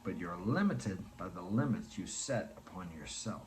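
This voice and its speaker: Man in his 60s, low voice, earnest motivational monologue, faint background hiss. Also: lively intonation, normal speed, a little mellow. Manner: earnest, preachy, repeats points for emphasis. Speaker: motivational speaker.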